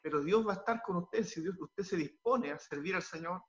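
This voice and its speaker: A pastor gives earnest, halting answers in a fairly high voice, repeating words as he goes and drifting into preaching. The sound is dull and lo-fi. He is a man speaking in an interview.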